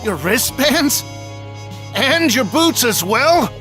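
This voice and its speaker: A man playing a proud, stern martial arts fighter in an anime game, speaking in a measured, self-assured, formal way with a gravelly voice. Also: very high voice, very animated, sharp tone, soft background music, heavily acted. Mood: astonished. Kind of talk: anime video game voice acting.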